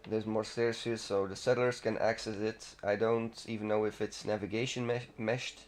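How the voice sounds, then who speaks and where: A man's voice: fairly low pitch, flat delivery, normal speed. A man hosting a gaming video, giving casual, unscripted walkthrough commentary in a rambling, self-interrupting manner.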